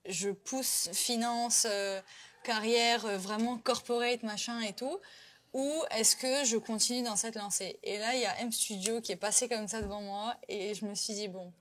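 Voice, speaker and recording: A woman's voice: fairly high pitch, steady tone, normal speed, a bit bright, slight background noise. A woman in her twenties from Côte d'Ivoire, chatting as an interview guest with lots of fillers and restarts. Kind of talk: casual interview chat.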